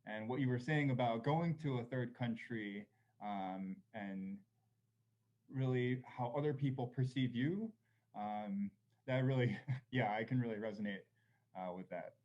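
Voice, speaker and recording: A man from Korea talks through his answers slowly in a panel discussion, pausing with fillers and small laughs. His voice sits at a middle pitch, and the recording sounds a little dull and lo-fi. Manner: thoughtful, hesitant, many fillers and soft laughs. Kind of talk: reflective panel conversation.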